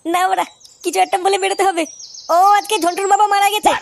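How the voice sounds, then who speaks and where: A man's voice: very high-pitched, flat delivery, quick pace, gravelly, a little hiss or hum. A man voicing a cheeky, scheming young village slacker in a comedy cartoon, slangy and. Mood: evasive, cheeky. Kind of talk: foul-mouthed comedy cartoon dialogue.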